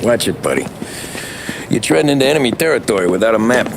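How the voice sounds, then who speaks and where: A man's voice: medium pitch, expressive, fast talker, gravelly, noisy background. An actor playing a level-headed American mobster and family man, terse and dry, in a crime game. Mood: guarded. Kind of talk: cinematic video game crime drama acting.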